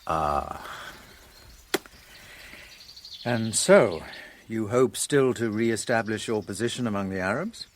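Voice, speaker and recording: An actor in a radio drama playing a composed, skeptical interrogator, speaking in a deliberate, dry and pointed way. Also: male voice, lowish voice, slight background noise, close to an everyday voice. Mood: probing. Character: probing, skeptical, composed male interrogator and narrator.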